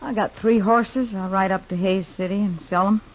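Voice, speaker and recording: A woman's voice: medium pitch, steady tone, moderate pace, natural voice. An actress playing a proud, self-reliant, wounded frontier woman in a 1950s radio western, speaking plainly with guarded wistfulness. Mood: hurt, resolute. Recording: dull old 1950s radio recording.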